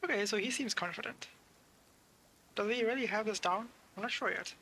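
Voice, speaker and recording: High-pitched, gravelly male game streamer. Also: normal speed, pushed and bright, slight background noise, low-quality recording. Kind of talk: casual live playthrough commentary. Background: video game ambience.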